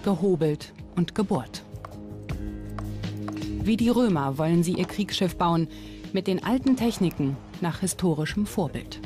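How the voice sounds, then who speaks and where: A woman's voice: lowish voice, expressive, unhurried, long pauses. A woman reading scripted documentary narration. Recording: music under the voice. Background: background music.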